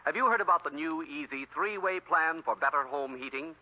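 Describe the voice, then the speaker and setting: Higher-pitched vintage male radio announcer. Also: a little fast. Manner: formal, emphatic sales delivery. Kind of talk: scripted 1940s radio announcing. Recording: tinny 1940s radio recording.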